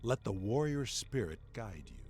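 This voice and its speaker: An actor playing a solemn, deliberate warrior crewman in a game voice pack. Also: lowish voice, sing-song, a little mellow. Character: solemn male warrior crewman reciting battle mottos.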